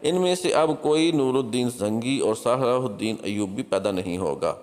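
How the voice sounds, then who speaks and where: A man's voice: medium pitch, animated, moderate pace, darkish tone, close to an everyday voice. A man voicing a captured crusader spymaster in a storybook tale, coolly defiant, with solemn, declamatory delivery. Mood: ominous, prophesying. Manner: solemn, declamatory, emphatic address. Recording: long hall-like reverb.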